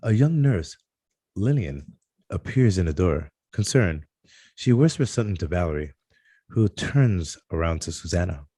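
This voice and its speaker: A man narrating screenplay stage directions at a table read, in a halting delivery that stumbles over words. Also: low-pitched, very animated, mellow, slightly rough, dry recording.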